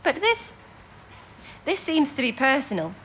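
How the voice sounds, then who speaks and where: A woman's voice: high voice, very animated, unhurried, fairly bright tone, a little hiss or hum, heavily acted. A woman playing an earnest, spirited young wartime codebreaker, speaking expressively and well-spoken in a period radio drama. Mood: curious. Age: young adult.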